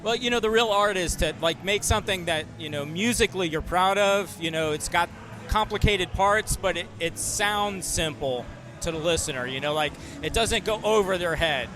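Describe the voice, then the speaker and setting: A male drummer answering interview questions outdoors in a relaxed, earnest way, with lots of fillers. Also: high-pitched, normal speed. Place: outdoors at a noisy event. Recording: outdoor mic with loud music behind.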